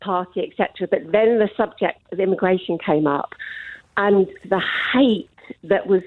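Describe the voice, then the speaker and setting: A woman's voice: mid-range voice, normal speed, no echo. A woman calling a radio phone-in from London, nervous and hesitant, with lots of fillers. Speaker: radio caller. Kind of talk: phone-in call. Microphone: telephone line. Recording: tinny phone line.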